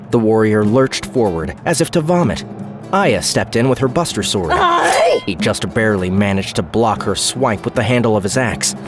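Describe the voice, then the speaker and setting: A man narrating an action-packed audio drama in a measured, storytelling delivery, with music behind him. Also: on the low side. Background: music score.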